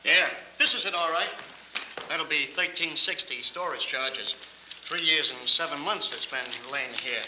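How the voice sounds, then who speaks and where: A somewhat high male voice from an actor as a chatty storage clerk who likes to joke. It comes from a 1940s film, echoey and buried in heavy noise. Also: unhurried, close to an everyday voice. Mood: chatty, amused. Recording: echoey, very noisy old film soundtrack.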